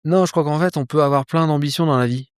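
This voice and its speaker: A man being interviewed about life and ambition, talking in a lively casual way with frequent fillers, restarts and mild swearing, outdoors. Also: fairly high pitch, fast talker, smooth, dry recording, clean studio sound. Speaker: polar explorer guest. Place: outdoors with a view of a landscape.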